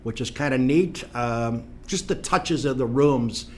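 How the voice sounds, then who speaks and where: A man's voice: mid-range voice, a little slow, darkish tone, slight background noise. A middle-aged man hosting a local talk show, chatty and rambling, with many fillers.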